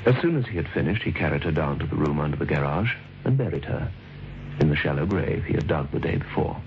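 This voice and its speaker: A man narrating a scripted radio story in a measured, formal, dryly understated way. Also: extremely low pitch, expressive. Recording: dull old radio recording. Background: music.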